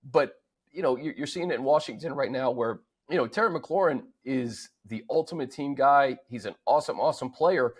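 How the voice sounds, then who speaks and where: Male sports host, medium-pitched voice. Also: brisk, husky. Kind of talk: conversational sports analysis.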